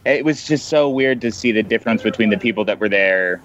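A man's voice: lowish voice, brisk, fairly bright tone. A man in casual, informal conversation on a podcast, with loose, hedging phrasing, on a lo-fi recording. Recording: dull lo-fi sound, faint noise.

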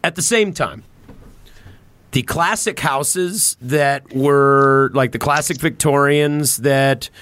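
A man chatting casually on a podcast, reflective and opinionated, halting as he thinks aloud and swearing freely. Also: medium pitch, fairly bright tone.

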